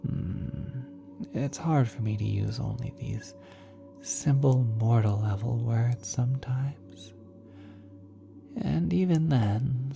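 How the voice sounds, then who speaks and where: Low, hushed, tender cosmic being, played by a woman. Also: heavily acted. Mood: tender, playful. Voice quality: soft, breathy, often whispered. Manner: tender, reassuring, unhurried, speaking as if to a small child. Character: gentle, doting ethereal cosmic being, nurturing a young one. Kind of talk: ASMR roleplay.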